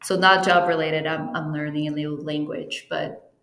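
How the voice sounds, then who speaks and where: Portuguese-native woman, guest on a chat, lots of um and restarts, lowish voice, roomy echo. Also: level tone, normal speed, a bit bright. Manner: chatty, with frequent fillers and restarts. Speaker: interview guest, team travel planner. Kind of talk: casual interview chat.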